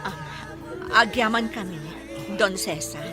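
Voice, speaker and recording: A woman's voice: mid-range voice, measured pace, natural voice. A woman with an Ilocano accent playing an emotional, pleading village wife and mother in a radio drama. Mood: grateful. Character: hurt, forgiving Filipino village wife and mother Lovely. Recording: soft music bed behind.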